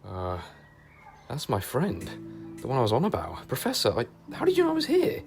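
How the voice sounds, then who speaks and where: A man's voice: medium pitch, wildly swooping pitch, normal speed, music in the background. A man playing a brave, down-to-earth young companion to a space-time traveller, speaking in a wry, colloquial way in an audio drama. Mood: wry, surprised.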